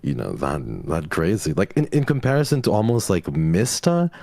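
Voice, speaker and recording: A man guesting in a casual stream chat, with a deep, gravelly voice that swings widely in pitch. He talks in a chatty way, packing his sentences with fillers. The sound is dry and close to the mic. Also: big pitch swings, normal speed, mellow, no echo.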